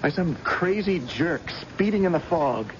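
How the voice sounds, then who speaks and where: An actor playing a grieving, bitter grown son with an earnest, sorrowful manner in a 1970s radio drama. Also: male voice, on the high side, lively intonation, measured pace. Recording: dull, noisy old radio broadcast recording.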